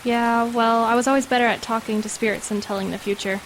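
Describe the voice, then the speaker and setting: Quiet, higher-voiced teenage girl played by a woman. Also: steady tone, quick pace, natural voice, rough recording. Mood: matter-of-fact. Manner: quiet, matter-of-fact. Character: steady, loyal, uncanny teenage girl medium. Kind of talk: audio horror drama acting. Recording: hiss and rain behind the voice.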